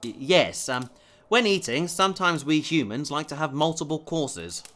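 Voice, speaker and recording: A man's voice: fairly high pitch, animated, normal speed, a bit bright. A man playing an earnest, determined human spacefarer in a science fiction audio drama, sincere and slightly awkward. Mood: patient, gentle.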